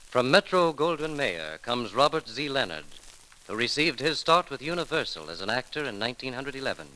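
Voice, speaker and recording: A man's voice: mid-range voice, big pitch swings, brisk, sharp tone, rough recording. An American man narrating a scripted radio memorial as announcer, solemn and formal with dramatic phrasing, in a vintage 1930s broadcast.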